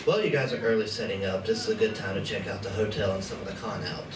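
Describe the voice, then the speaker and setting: Man playing a lowish-voiced, weary con staffer. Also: fast, natural voice. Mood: groggy, weary. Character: weary, hungover male convention staffer. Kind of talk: live stage radio play acting. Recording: distant echoey room with clear noise.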